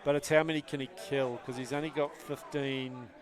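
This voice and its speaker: A young New Zealand man with a New Zealand accent, giving chatty, excitable live commentary on a tabletop tournament and laughing often. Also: medium pitch, brisk, a crowd in the background.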